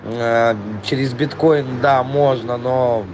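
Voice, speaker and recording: A hoarse man rambling profanely in a casual voice message to a client, full of fillers. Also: mid-range voice, steady tone, slow, muffled recording, noisy background. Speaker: trailer import dealer.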